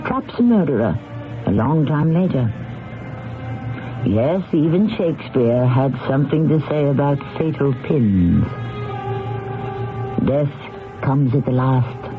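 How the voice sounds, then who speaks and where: A man hosting a radio drama, narrating in a scripted, theatrical old-time radio style, dramatic and deliberate. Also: medium pitch, expressive, narrow, tinny audio. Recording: thin old radio broadcast with music.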